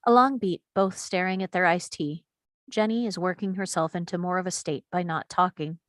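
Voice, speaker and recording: A woman narrates screenplay action and scene headings from a script. Her voice is on the low side, and the recording is very dry and close, free of room sound. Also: fairly even intonation, moderate pace, no echo. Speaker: stage directions reader.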